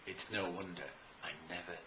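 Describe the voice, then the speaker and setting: A British man speaking as a coach promoting his services in an informal, enthusiastic and emphatic talk with deliberate pauses, recorded in a roomy space. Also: low voice, flat delivery, highs cut off. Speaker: life and business coach promoting own services. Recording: roomy echo, faint background noise.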